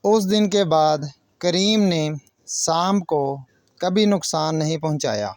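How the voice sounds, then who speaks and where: A man's voice: fairly high pitch, expressive, darkish tone, no echo, clean studio sound. A man narrating a moral story for children like a teacher, speaking directly to young listeners in short separated phrases. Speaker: children's storyteller and channel host.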